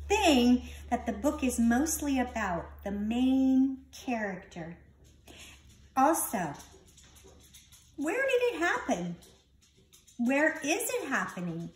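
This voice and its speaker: A woman reading a picture book aloud to young children in an expressive, instructive teacher's way, asking questions and repeating key words. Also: fairly high pitch.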